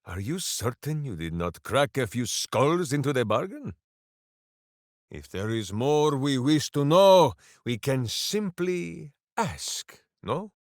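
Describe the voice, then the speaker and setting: Casting: hearty game berserker, earnest and grandiose, unhurried delivery with big pitch swings. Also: male voice, sing-song, dry recording, clean studio sound. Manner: grandiose, speaks of himself in third person. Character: boisterous, big-hearted male berserker warrior, earnest and simple-minded. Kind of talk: fantasy video game voice acting.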